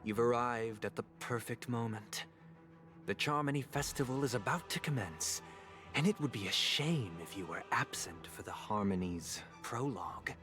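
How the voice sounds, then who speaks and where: An actor playing a smug, grandiose video game villain who speaks in formal, theatrical oratory. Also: male voice, medium pitch, animated, normal speed, pushed and bright. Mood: stately, welcoming. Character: smug, grandiose male villain preaching order and paradise. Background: background game music.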